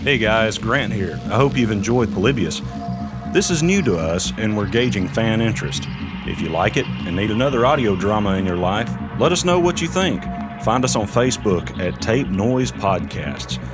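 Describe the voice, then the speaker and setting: A man's voice: lowish voice, lively intonation, moderate pace, over loud music. A man giving a casual podcast sign-off to listeners as one of the show's makers. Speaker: podcast creator and voice actor.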